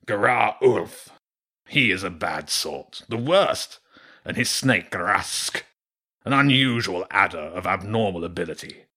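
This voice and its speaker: A man voicing a huge, grumpy, lazy old badger king in a storybook reading, speaking slowly and gruffly with a husky voice. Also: sits low, expressive, sharp tone, exaggerated voice. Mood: grave. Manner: slow, grumbling, gruff.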